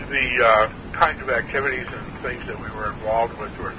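A man's voice: mid-range voice, moderate pace, muffled recording, noisy background. A man in his sixties from New Hampshire, recalling his life in an oral history interview, hesitant with many fillers and a frog in his throat. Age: 60s.

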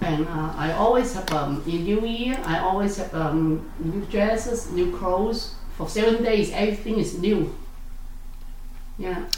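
A woman, answering interview questions in halting speech full of fillers and self-corrections. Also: medium pitch, darkish tone. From South Vietnam. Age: 60s. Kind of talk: oral history interview. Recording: echoey room, distant mic, faint noise.

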